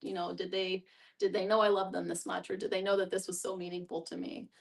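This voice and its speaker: A woman's voice: mid-range voice, flat delivery, fast talker, creaky. A woman speaking as a therapist leading a group discussion, thoughtful and a little hesitant, often checking in with her listeners. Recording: group in room, distant mic.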